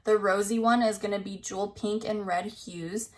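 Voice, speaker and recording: A young woman vlogging about makeup in a casual, rambling way, her voice slightly scratchy and worn. She leans on "like" constantly and switches between reading product descriptions and offering her own opinions. Her pitch sits in an ordinary middle range. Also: fairly even intonation, normal speed. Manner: rambling, full of "like", reads product blurbs aloud. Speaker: beauty vlogger. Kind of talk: casual chat reviewing new makeup releases.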